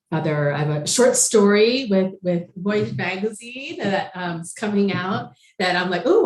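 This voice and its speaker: A woman in her forties, a novelist, chatting casually about her writing with many fillers and restarts. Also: deep voice. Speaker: novelist guest. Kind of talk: casual conversation. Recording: roomy echo.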